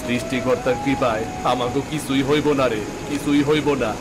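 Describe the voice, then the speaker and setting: A man voicing a poor, warm-hearted farmer father in a cartoon, speaking earnest, fretful village talk. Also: medium pitch, steady tone, moderate pace, very noisy, lightly acted. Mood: worried. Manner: earnest, fretful rural speech. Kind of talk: cartoon voice acting. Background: background music.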